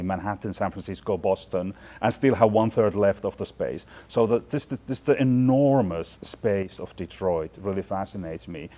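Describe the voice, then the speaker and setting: Finnish-raised man, lowish easy voice. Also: mellow. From Finland. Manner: thoughtful, chatty, many fillers and restarts. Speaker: guest, fashion department chair. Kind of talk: relaxed interview answers. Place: large bright studio loft indoors.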